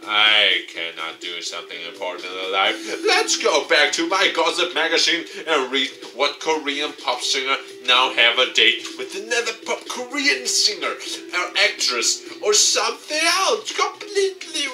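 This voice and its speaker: A man's voice: fairly high pitch, lively intonation, measured pace, distant mic, voice pushed up. A man voicing a bored, disdainful middle-aged woman working a front desk, grumbling with scorn. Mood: scornful.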